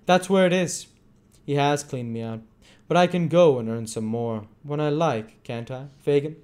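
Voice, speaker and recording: A man's voice: fairly high pitch, fast, mellow, voice pushed up, lightly acted. A man voicing a naive, boastful young pickpocket who eagerly seeks approval in a storybook reading. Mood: triumphant. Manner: eager, naive, seeking agreement. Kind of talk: acted dialogue in a classic novel reading.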